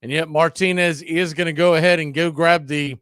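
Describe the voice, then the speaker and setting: A man's voice: steady tone, brisk, fairly bright tone, smooth, no room sound, studio quality. Opinionated male baseball play-by-play caller. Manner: opinionated, blunt asides between pitches. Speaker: play-by-play radio announcer. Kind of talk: fan-stream baseball play-by-play commentary.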